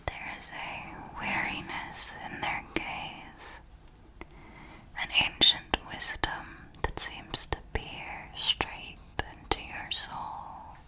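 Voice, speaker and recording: A woman plays an elegant female vampire mentor in an ASMR roleplay. She whispers throughout in a breathy hush, speaking very slowly and deliberately. Her manner is refined, patient and knowing, like a teacher guiding a pupil. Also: heavily acted, low-quality recording. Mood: hushed, instructive. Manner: slow, deliberate, refined, instructive.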